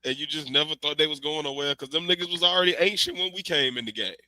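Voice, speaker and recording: A man with a cold hosts a podcast in loose, animated, slangy chat. His tone is strikingly bright and cutting. The sound is close and dry, with no room around it. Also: on the high side, fast talker, no echo, low-quality recording. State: has a cold. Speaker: podcast host. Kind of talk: casual chat. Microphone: remote stream mic.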